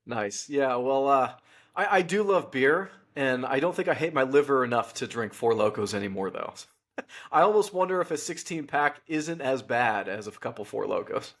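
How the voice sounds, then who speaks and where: A man's voice: mid-range voice, expressive, normal speed, a bit bright. A young adult man hosting a podcast, asking questions in a friendly, chatty way and laughing easily. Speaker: podcast host. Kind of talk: casual podcast interview.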